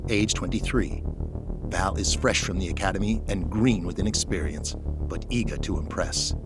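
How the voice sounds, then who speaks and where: A man giving dramatic scripted narration as the narrator of an audio drama. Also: on the low side. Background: music.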